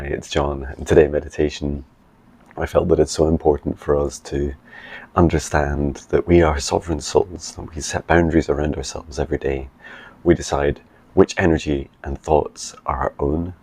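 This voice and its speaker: A man, a psychic mentor, giving soft meditation messages and rambling video diary updates full of ums. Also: extremely low pitch, husky. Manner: soft, slow affirmations; chatty with many ums in updates.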